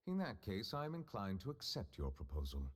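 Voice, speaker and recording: An actor playing a formal, reserved male judge who speaks deliberately and guardedly. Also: fairly low pitch, huge pitch swings.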